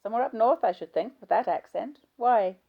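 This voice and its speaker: A woman voicing a chatty, gossipy nurse who talks briskly. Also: fairly high pitch, gravelly, lo-fi sound, pitched above the performer's own voice. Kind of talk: audiobook character voice.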